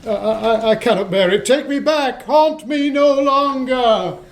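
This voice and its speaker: A man playing an old miser in a radio play, theatrical, excitable and halting. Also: very high voice. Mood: anguished pleading. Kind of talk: stage-like radio play acting.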